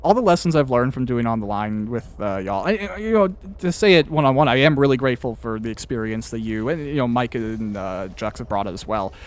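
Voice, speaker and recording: A man's voice: medium pitch, lively intonation, a little fast, few pauses. Guy hosting a podcast, chatty interview style, wordy and kinda hesitant, keeps restarting his thoughts. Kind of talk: casual chat interview.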